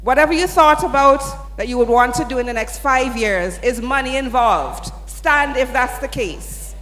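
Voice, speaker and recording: A woman's voice: high voice, normal speed, a bit bright, slight background noise. Woman, upbeat, coaxing, audience address, long hall reverb. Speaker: sponsor representative presenting at a student event. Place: large hall.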